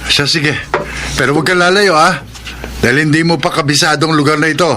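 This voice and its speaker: A man's voice: fairly high pitch, steady tone, brisk, natural voice. An actor playing a patient, principled working-class father in an earnest radio drama style. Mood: patient, fatherly. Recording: clear background noise with clicks.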